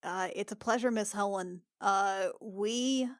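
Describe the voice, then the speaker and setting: A woman's voice: mid-range voice, takes it slowly, no room sound, studio quality. A woman playing a tabletop role-playing game, speaking in character in a polite, hesitant way. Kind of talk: improvised in-character game dialogue.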